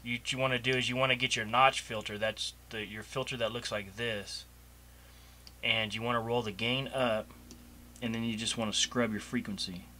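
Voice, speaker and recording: A man's voice: mid-range voice, steady tone, normal speed, sharp tone, a little hiss or hum. A man casually walking through a home recording tutorial on a cheap microphone in a home room, rambling with ums and restarts. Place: home room with computer running and an open door.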